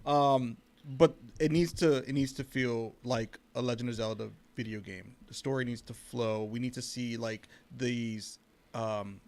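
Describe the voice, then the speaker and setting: A man with a mid-pitched voice speaks as a podcast panelist. His delivery is casual and opinionated, like an informal debate among co-hosts. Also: fairly even intonation, measured pace.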